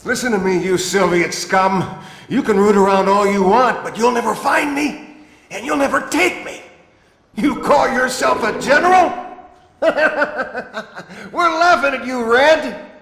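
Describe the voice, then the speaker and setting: A woman's voice: on the high side, measured pace, gravelly, a bit bright. A woman playing a defiant resistance commander, taunting and scornful, in a dramatic game cutscene. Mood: mocking defiance. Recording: echoey, long reverb.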